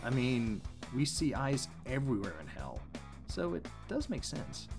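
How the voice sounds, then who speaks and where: A man's voice: mid-range voice, huge pitch swings, measured pace, vocal fry, music in the background. A man co-hosting a scripted explanatory commentary on a cartoon. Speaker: co-host.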